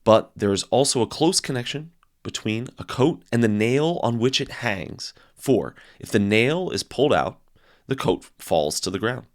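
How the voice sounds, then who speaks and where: A man giving a somewhat dramatic reading of a philosophical text, with theatrical, deliberate phrasing and emphatic swells and drops. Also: medium pitch, expressive. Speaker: audiobook reader.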